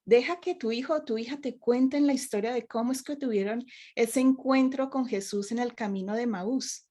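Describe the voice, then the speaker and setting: A woman's voice: on the high side, moderate pace, mellow. A woman raised in Colombia, a youth minister, giving earnest advice and telling personal stories in a panel discussion.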